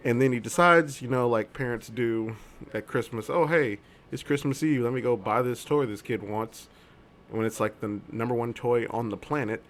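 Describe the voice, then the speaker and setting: A man co-hosting a live-streamed chat show, talking casually and jokily, rambling with loud excited bursts. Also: medium pitch, moderate pace. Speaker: co-host. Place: home streaming setup.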